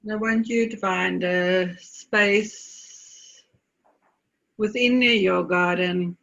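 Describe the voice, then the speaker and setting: Slow, creaky-voiced woman guiding meditation. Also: fairly low pitch, steady tone, fairly bright tone, muffled recording. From South Africa. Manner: slow, deliberate phrasing with long pauses. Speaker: meditation guide. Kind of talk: guided meditation.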